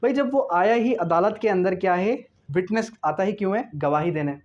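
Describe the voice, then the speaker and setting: Male law lecturer, slightly high voice, didactic question-and-answer delivery, dull lo-fi recording. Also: normal speed, a little mellow. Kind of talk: explanatory law lecture mixing read-out English clauses with explanation. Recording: dull lo-fi sound.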